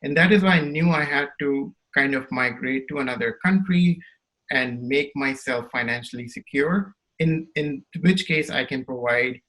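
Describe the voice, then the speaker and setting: A man's voice: mid-range voice, moderate pace, bright, forceful tone, rough recording. Thoughtful male podcast host. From Saudi Arabia. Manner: thoughtful, builds questions at length, checks in with "right?". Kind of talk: interview questions.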